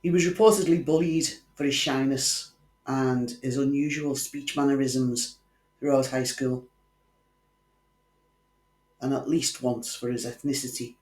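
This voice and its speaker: A man's voice: medium pitch, fairly even intonation, measured pace, pauses often, a little mellow. A man hosting a true crime channel, telling a case in scripted narration with wry conversational asides to the viewer. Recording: echoey room.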